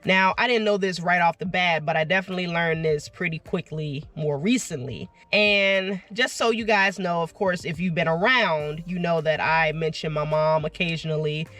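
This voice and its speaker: A woman's voice: on the low side, normal speed, hardly any pauses, fairly bright tone. A woman vlogging casually to her viewers, a bit congested and nasal from getting over an illness, chatty with frequent "you know" and "right?" asides. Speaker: vlogger and delivery driver. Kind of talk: casual vlog talk to camera.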